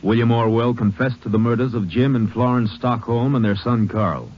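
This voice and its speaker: A man's voice: mid-range voice, moderate pace. An American man reading a case verdict in grave, formal 1950s radio narration. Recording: muffled vintage radio recording. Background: faint music.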